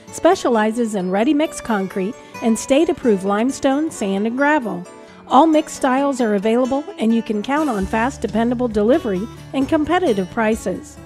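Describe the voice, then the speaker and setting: Woman reading a radio ad, slightly high voice, light music behind. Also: expressive, normal speed, darkish tone. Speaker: radio commercial announcer. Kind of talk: scripted ad narration. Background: faint music bed.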